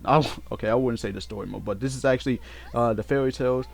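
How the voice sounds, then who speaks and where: A man giving casual, unscripted game commentary in a halting way, often repeating and correcting himself as he goes. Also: medium pitch, creaky. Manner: halting, repetitive, self-correcting. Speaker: let's play host. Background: game music.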